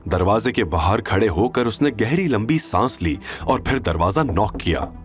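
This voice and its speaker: A man's voice: lowish voice, animated, moderate pace, faint music behind. A man narrating a scripted audio drama in a dramatic storytelling style.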